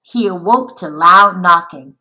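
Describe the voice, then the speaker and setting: A woman reading a children's picture book aloud like a teacher, in a dramatic, deliberate, expressive way. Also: fairly low pitch. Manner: dramatic, deliberate, with acted-out dialogue.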